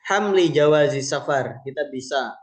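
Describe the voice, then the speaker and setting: A man teaching Arabic vocabulary in an online lesson, explaining slowly and patiently with many pauses and fillers. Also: a little high, expressive.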